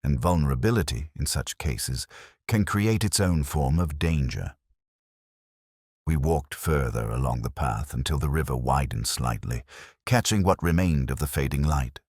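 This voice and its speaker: An extremely low-voiced man narrates a detective tale in the first person as a melancholy investigator. His delivery is measured and literary, with lively rises and falls in pitch. The recording is dry, with no room sound around the voice. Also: breathy edge, dry recording. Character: melancholy, reflective male police detective telling his own case.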